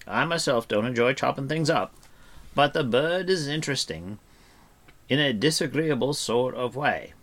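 A man's voice: mid-range voice, animated, moderate pace, a bit bright, pitched above the performer's own voice. Man voicing a rambling, cautious storybook bard. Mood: doubtful, mild. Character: easygoing, wordy male bard, cautious and kind-hearted.

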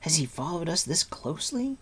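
Man voicing an impetuous boy with raised pitch. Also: a little fast, breathy edge, heavily acted. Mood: anxious. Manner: excitable, indignant. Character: impetuous, anxious, hot-tempered boy hero.

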